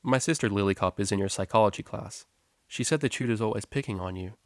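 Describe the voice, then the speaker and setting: A breezy young stallion acted by a man with a lowish voice. He talks in loose student slang, teasing and easygoing, in a dry storybook recording. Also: fast, no room sound, natural voice. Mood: reassuring. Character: laid-back young male pony student, teasing, forgiving.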